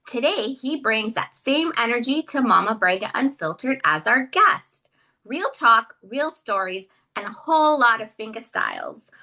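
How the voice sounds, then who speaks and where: A woman's voice: on the high side, big pitch swings, measured pace, pushed and bright. A woman hosting a podcast interview, reading an upbeat intro and then asking questions. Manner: upbeat, reads a scripted intro, then affirming listener. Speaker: podcast host.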